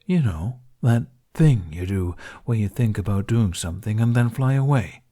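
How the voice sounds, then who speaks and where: Man voicing a dry, low-voiced dragon companion. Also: expressive, normal speed, warm tone. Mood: teasing. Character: wry, forbearing male dragon companion to a boy.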